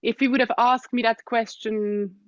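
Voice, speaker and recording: A young adult woman with a Swiss accent, a guest speaking thoughtfully in an interview, with soft laughs and hums of agreement. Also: fairly high pitch, steady tone, moderate pace, dry recording, dull audio. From Switzerland. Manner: thoughtful, soft-spoken, frequent gentle laughs and hums of assent. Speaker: guest, osteopath. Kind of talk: reflective interview conversation.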